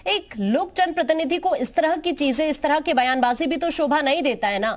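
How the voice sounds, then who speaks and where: High-pitched woman news anchor. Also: animated, moderate pace. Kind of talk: live debate moderation.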